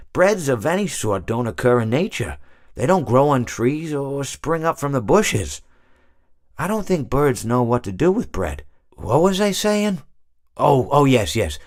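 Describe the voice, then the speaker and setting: Game role: aged hippopotamus animatronic. Meandering, hesitant, mild-mannered storyteller, very animated intonation, recorded close and dry. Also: male voice, medium pitch, a little slow, no echo. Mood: wistful rambling. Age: older. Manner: rambling, hesitant, absent-minded, self-correcting. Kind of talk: character monologue for a video game.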